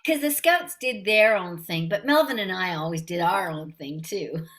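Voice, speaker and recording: A woman with a light voice, talking warmly and unhurriedly as a guest in a casual interview. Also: lowish voice, expressive. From Canada. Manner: warm, friendly, unhurried. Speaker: voice actress guest.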